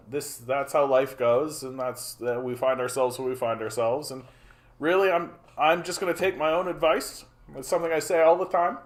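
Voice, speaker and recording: A man of forty from Canada talks casually on a livestream at a middling pitch. He rambles, repeats himself and fills gaps with "uh", stopping often to greet viewers by name. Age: 40s. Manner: rambling, repeats phrases, many fillers. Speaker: livestream host.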